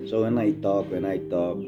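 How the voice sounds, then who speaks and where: A man with a Dutch accent speaks English in a very low voice, rambling through a philosophical spiritual talk. His delivery is blunt and emphatic. Loud plucked guitar music plays over him throughout.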